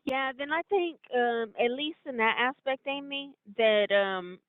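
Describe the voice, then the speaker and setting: A woman's voice: high-pitched, a little slow, no echo. A woman giving an informal, step-by-step walkthrough as a trainer on a conference call. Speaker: trainer explaining software steps. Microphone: conference call line. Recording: narrow, tinny conference-call line.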